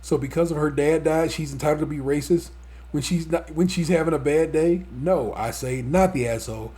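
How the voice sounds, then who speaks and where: A man's voice: on the high side, brisk, warm tone. A middle-aged man hosting a podcast in loose, profane casual chat, full of restarts and laughs. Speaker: podcast host.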